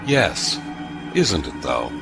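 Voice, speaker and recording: An actor playing a retired starship captain in an audio drama, wry, understated and measured. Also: male voice, a bass voice, sing-song, creaky, music in the background, exaggerated voice. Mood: diplomatic.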